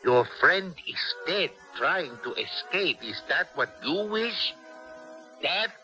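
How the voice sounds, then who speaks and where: A cold male prison interrogator from a radio drama, threatening every word. The delivery is drawn-out and deliberate, with a very sharp, forceful edge over faint music. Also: a little high, expressive, full character voice. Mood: cold menace. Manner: slow, taunting, deliberate. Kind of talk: old-time radio drama acting.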